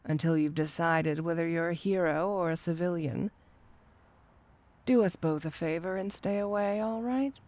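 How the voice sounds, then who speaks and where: A woman's voice: fairly low pitch, darkish tone, dry recording, lightly acted. A woman playing a flirty, teasing supervillain cat burglar in an intimate ASMR roleplay, speaking coyly to the listener. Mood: cool, teasing. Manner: coy, teasing, intimate, unhurried.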